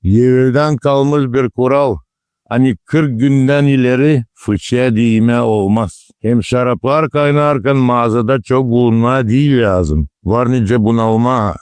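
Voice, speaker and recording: A man's voice: mid-range voice, unhurried, a little mellow, clean tone, no room sound, close to an everyday voice. A man voicing a wise, folksy village grandfather in a cartoon, explaining old ways. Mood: instructive. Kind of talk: cartoon character dialogue. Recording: music behind the dialogue.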